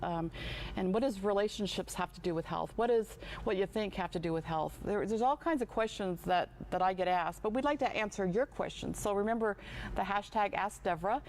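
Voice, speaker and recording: Woman, 60s, co-host; earnest, halting delivery full of ums, faint background hiss. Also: mid-range voice, darkish tone. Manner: earnest, halting with fillers and restarts. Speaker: show co-host. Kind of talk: casual chat.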